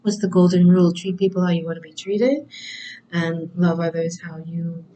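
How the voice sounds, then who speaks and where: A woman giving a spiritual oracle card reading in a gentle, affirming way, with frequent ums and soft laughs, her voice sometimes dropping low. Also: level tone, moderate pace, dull audio, slight background noise.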